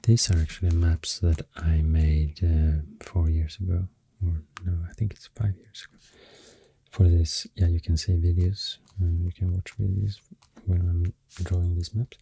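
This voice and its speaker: A man with a Swedish accent doing soft-spoken ASMR, half-whispering in a hesitant, unhurried ramble close to the microphone. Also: low voice, flat delivery, gravelly, breathy edge, no echo, rough recording. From Sweden. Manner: hesitant, unhurried, halting rambling in short phrases. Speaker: ASMR creator and host. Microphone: close, dry microphone.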